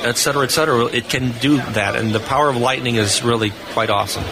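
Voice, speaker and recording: A man's voice: medium pitch, fairly even intonation, quick pace, a crowd in the background. An older man co-hosting a live radio show, telling jokey stories and explaining radio lore in a casual chat. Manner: jokey storyteller. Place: convention floor booth. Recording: noisy live convention floor.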